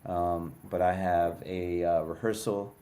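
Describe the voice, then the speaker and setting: Deep flat-voiced New York man. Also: takes it slowly. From Brooklyn, New York. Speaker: former cast member sending a video message. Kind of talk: recorded video greeting.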